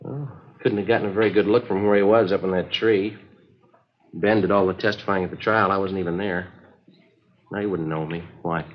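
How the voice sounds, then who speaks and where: Deadpan low-voiced police detective. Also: male voice, brisk. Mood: doubtful, low-key. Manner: deadpan, matter-of-fact, terse. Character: laconic, unflappable male police detective sergeant, narrating his case. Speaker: lead actor and narrator. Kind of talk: 1950s radio drama, clipped procedural narration. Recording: dull vintage radio recording with music.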